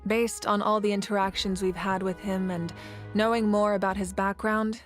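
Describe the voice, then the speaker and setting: A woman's voice: medium pitch, little pitch movement, moderate pace, soft background music, close to an everyday voice. Woman playing a composed, confident leader. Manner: composed, assured. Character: confident, calm young female leader, warm and wry. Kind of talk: anime fantasy game acting.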